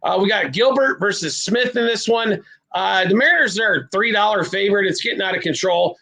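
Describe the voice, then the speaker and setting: Gravelly high-pitched betting host. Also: male voice, fairly quick, pushed and bright. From American Midwest. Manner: rambling, blunt, self-deprecating. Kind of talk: casual sports betting talk.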